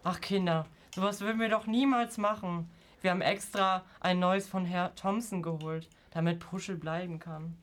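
Easygoing parent played by a female performer, mid-pitched. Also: fairly even intonation, moderate pace, a bit bright, natural voice. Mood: reassuring. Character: easygoing parent, practical and conciliatory. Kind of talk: amateur school radio play acting.

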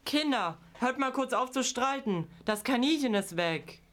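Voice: sits high, lively intonation, unhurried, a bit bright. A female performer playing an easygoing, practical parent in an amateur school radio play. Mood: negotiating.

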